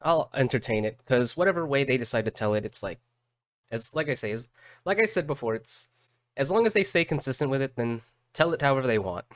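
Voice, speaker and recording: A man doing casual live reaction commentary as a streamer, halting and repeating words as he thinks aloud. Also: mid-range voice, lively intonation, no room sound. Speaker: streamer reacting to game videos.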